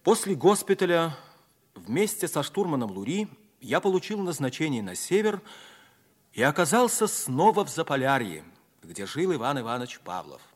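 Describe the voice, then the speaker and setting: A man's voice: fairly high pitch, big pitch swings, moderate pace. An actor playing a military pilot who narrates his own story of lost love in a 1950s radio drama. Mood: somber. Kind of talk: dramatic first-person narration, 1950s Soviet radio play.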